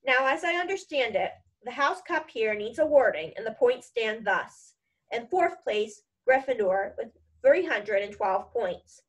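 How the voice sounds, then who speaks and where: A woman voicing a cheerful, whimsical old male headmaster addressing pupils in a storybook, in a measured, ceremonious way. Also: fairly high pitch, animated. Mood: cheerful, ceremonial.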